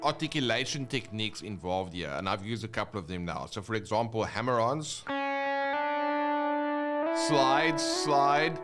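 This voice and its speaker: A man's voice: fairly low pitch, unhurried. South African man teaching guitar. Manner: relaxed, explains step by step with small restarts. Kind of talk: instructional talk with guitar demonstrations. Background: his own guitar playing between phrases.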